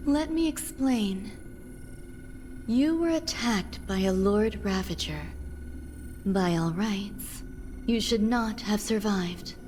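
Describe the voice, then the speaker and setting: A woman playing an aloof, composed scientist and healer in a video game cutscene, speaking in a measured, precise, detached way. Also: mid-range voice, darkish tone, a little hiss or hum, natural voice. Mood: detached, explanatory. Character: composed, aloof female scientist and healer, clinically matter-of-fact.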